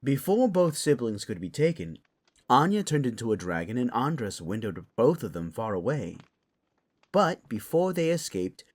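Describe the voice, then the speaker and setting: A man's voice: mid-range voice, darkish tone. A man playing an earnest council member in a fantasy audio drama, speaking with a dramatic storytelling manner.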